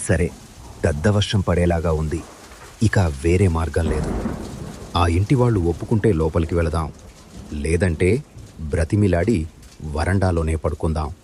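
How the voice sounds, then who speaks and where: A man playing a humble, earnest village husband and father in an animated moral story, speaking politely. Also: deep voice, normal speed, long pauses, a little hiss or hum, lightly acted. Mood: resigned. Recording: music behind the voice.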